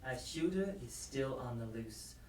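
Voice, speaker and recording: A man's voice: medium pitch, lively intonation, unhurried, natural voice. A man playing a pushy TV station manager in an audio drama. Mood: pushy, brisk. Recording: echoey, distant mic with hiss.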